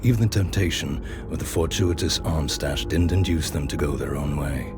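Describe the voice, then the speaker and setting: An actor playing a knowing, sardonic storyteller who narrates gang wars in a video game in a measured, wry, ominous way. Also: male voice, extremely low pitch. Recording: music under the voice.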